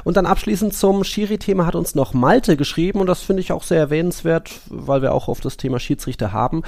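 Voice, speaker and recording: A man with a gravelly voice, co-hosting a casual football podcast and thinking aloud in a rambling way. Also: fairly high pitch, fairly quick, darkish tone. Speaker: podcast co-host.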